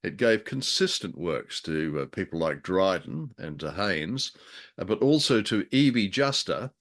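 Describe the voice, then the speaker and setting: Low-voiced man in casual review chat. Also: sing-song, measured pace, a bit bright. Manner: wry, hesitant phrasing with dry understatement. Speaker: co-host of a show reviewing old radio dramas.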